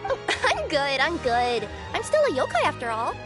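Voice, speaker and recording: A woman's voice: high-pitched, wildly swooping pitch, normal speed. A woman voicing a young cat yokai courier, bubbly, sheepish and apologetic, in a video game. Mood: explaining. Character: young female cat yokai courier with two tails, cheerful and flustered. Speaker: delivery courier character. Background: game music.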